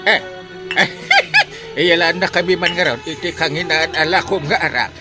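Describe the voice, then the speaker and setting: An actor playing a flirtatious, tipsy village captain in a comedy radio drama, giggling and stammering through exclamations. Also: male voice, on the high side, fairly even intonation, a little fast, lightly acted. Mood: giddy, flirtatious. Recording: music playing behind the voice.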